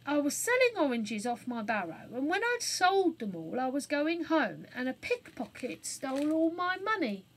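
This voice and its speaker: A woman voicing a frightened, remorseful working-class man, a novice burglar in a children's storybook, with dramatic drawn-out exclamations and colloquial speech. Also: high voice, very animated, slight background noise, voice pushed up, low-quality recording. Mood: rueful.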